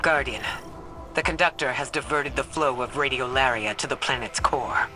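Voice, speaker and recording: An actress playing a serious, intense scientist and field ally in a video game, earnest and direct. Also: female voice, very deep voice, normal speed, over music. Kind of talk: scripted video game acting.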